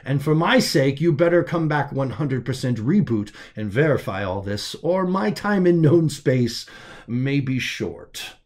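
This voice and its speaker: A man's voice: mid-range voice, expressive, unhurried, a little mellow, lightly acted. A man voicing a loyal, plain-spoken male lieutenant and medical advisor in an audiobook, explaining things deferentially to his superior. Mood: wry.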